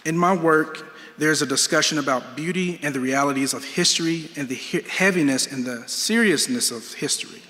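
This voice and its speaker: A man speaks with a bright, forceful tone, each word ringing out through the long echo of a large hall. His delivery is measured and reflective, a prepared talk about his art that moves through questions at an even pace. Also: a little high. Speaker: artist giving a talk. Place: museum auditorium.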